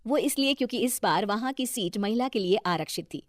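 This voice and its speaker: A woman's voice: on the high side, expressive, brisk, dry recording, clean studio sound. A woman reading scripted narration for a moral story as the storyteller.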